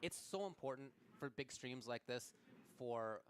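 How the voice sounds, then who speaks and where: Guy doing esports casting, excited and analytical, talks in quick bursts, bit of room noise behind. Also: medium pitch. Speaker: esports commentator. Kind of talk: live tournament commentary.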